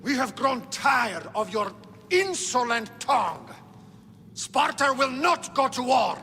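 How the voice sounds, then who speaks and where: A man's voice: very high-pitched, big pitch swings, takes it slowly, sharp tone, slight background noise, exaggerated voice. A man voicing a defiant, scornful Spartan warrior king in dramatic video game acting. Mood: angry, defiant. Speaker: actor voicing a game character. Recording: long echoing hall reverb.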